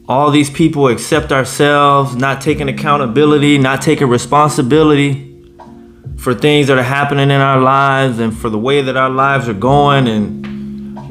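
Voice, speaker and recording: A man's voice: mid-range voice, fairly bright tone. A man in his late thirties giving blunt, profane, indignant reaction commentary. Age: 30s. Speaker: commentary vlogger.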